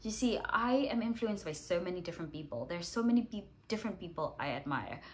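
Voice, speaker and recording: A woman English teacher hosting a writing challenge, talking casually to camera while congested with a cold, chatty and self-deprecating, clearing her throat and coughing now and then. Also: lowish voice, expressive, fast.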